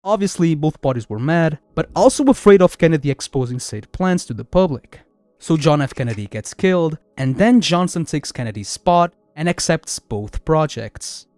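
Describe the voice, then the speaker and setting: Lively young man narrating horror lore. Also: fairly high pitch, moderate pace, dry recording. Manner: lively, chatty asides. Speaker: YouTube host. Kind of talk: scripted video narration.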